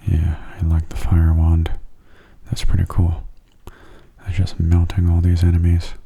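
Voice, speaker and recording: A man giving gentle, soft-spoken ASMR game commentary in a breathy, near-whispered voice, unhurried and close to the microphone. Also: deep voice, completely flat delivery, highs cut off. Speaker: gaming channel host.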